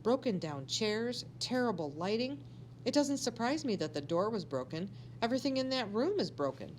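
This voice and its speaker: A woman's voice: fairly high pitch, big pitch swings, moderate pace, a little mellow, slight background noise, pitched above the performer's own voice. A woman voicing an authoritative, opinionated female school district supervisor in a storybook, speaking emphatically. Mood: disdainful.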